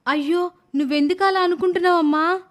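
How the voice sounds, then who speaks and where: A woman playing a caring but easily exasperated young mother, earnest and expressive, in an animated moral story. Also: very high-pitched, a little fast. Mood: concerned.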